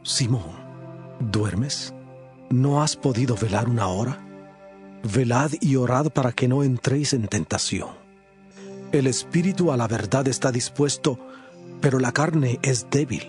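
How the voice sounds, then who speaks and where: A man playing a grave, authoritative male religious teacher in a dramatised scripture audiobook, speaking in a solemn, measured way. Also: medium pitch, a little mellow, soft background music, natural voice. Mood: grave, urging.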